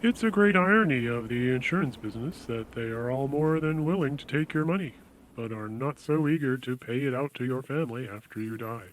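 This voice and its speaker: A man playing a polite, persuasive insurance salesman in an audio drama, speaking in a measured, reassuring way. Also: mid-range voice, lively intonation, slight background noise, close to an everyday voice, low-quality recording. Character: smooth-talking, reassuring male death insurance salesman.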